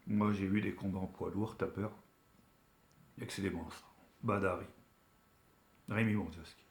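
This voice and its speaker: A man's voice: low voice, steady tone, a little slow, echoey room. A man of Algerian origin talking casually in an emphatic, admonishing, colloquial way, as if setting someone straight in an online video. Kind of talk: casual opinion rant to camera.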